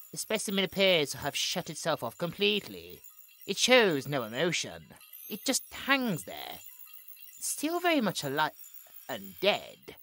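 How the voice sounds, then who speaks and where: Low-voiced, theatrical cold woman scientist, acted out by a woman. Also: fairly bright tone, full character voice. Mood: clinical, detached. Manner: theatrical, clinical log narration with dramatic emphasis. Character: cold clinical female scientist, gloating and imperious. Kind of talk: scripted horror audio drama.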